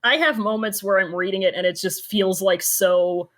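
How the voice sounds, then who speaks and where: A woman's voice: medium pitch, normal speed. A woman co-hosting a casual chat, chiming in with wry, sweary comments. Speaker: podcast co-host.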